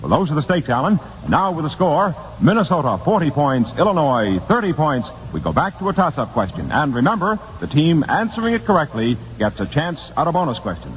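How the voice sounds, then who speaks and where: A man's voice: fairly high pitch, very animated, brisk, hardly any pauses, a little hiss or hum, rough recording. An American man announcing in formal, polished 1950s radio style, reading scripted copy on an old broadcast recording.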